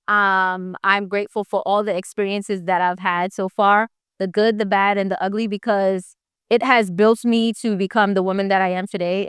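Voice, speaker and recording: A woman from Jamaica, a young entrepreneur, talking in a relaxed, upbeat interview and often asking "right?" as she makes her point. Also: medium pitch, clean tone, no echo, clean studio sound. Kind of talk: casual interview.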